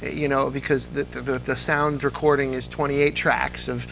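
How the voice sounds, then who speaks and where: A man's voice: mid-range voice, flat delivery, moderate pace, creaky, fairly bright tone, slight background noise, low-quality recording. A man being interviewed as an old-time radio fan, talking with enthusiasm and frequent restarts. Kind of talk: casual interview chat.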